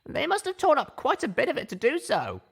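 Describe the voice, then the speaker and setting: A woman playing an earnest, careful young unicorn mare in an audiobook, with a husky, creaky voice. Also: sits high, wildly swooping pitch, brisk, heavily acted. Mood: curious, musing.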